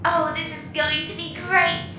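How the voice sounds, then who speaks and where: A woman playing an excitable, naive, newly self-aware ship computer in a comedy audio drama, chirpy and gushing. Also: very high-pitched, measured pace. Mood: cheerful, matter-of-fact. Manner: chirpy, gushing, wide-eyed. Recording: echoing voice with music behind.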